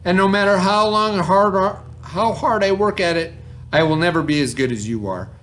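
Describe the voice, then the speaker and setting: A man's voice: high voice, normal speed, fairly bright tone, a little hiss or hum. A man reading aloud as a proud young woman weaver in a children's myth. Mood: dismayed. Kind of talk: children's read-aloud storytelling.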